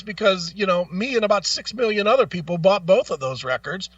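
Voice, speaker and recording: Male talk host, high-voiced and argumentative, blunt swearing, emphatic rant delivery, recorded dry and a little off the mic. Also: sharp tone, no echo, distant mic, muffled recording. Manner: repeats phrases for emphasis. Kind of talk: opinionated music talk, ranting.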